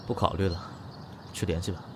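Audio drama: young actor heartthrob, deep voice, calm, casual, few words, some background noise. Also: male voice. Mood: calm, decided. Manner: laconic, casual, unhurried. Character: calm, laid-back young male film star.

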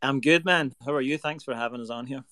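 A man's voice: mid-range voice, fast talker, sharp tone, no echo. A man from Scotland with a Scottish accent, a singer-songwriter chatting earnestly on a video call as a guest. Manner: earnest, reflective, rambling with fillers. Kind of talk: casual interview chat. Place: home recording space in Nashville.

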